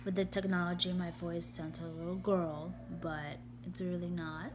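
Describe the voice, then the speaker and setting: A woman reading a text aloud slowly and haltingly in a steady, even tone, often repeating words and restarting. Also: lowish voice. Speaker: vlogger. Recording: steady background hum.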